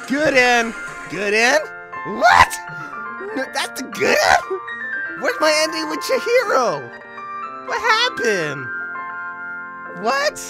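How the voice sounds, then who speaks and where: Animated high-pitched gamer with wildly swinging delivery. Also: rough recording. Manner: giggly, excitable, often bursting into shrieks and laughter. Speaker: let's player reading a visual novel aloud. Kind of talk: excited gameplay commentary with reactions and read-aloud dialogue.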